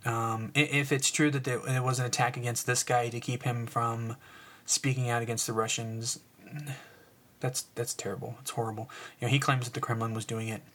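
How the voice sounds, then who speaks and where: A man's voice: mid-range voice, little pitch movement, fairly quick, fairly bright tone. Sniffly, rambling podcast guy. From United States. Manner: rambling, filler-heavy, restarts, sarcastic asides. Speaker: podcast host. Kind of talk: casual solo podcast talk.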